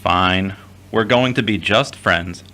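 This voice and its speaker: A devout, earnest son played by a man in an audio drama, with a low-pitched voice. He sounds self-doubting and slightly hesitant in his delivery. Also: slow, pushed and bright, heavily acted. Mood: contrite, defensive.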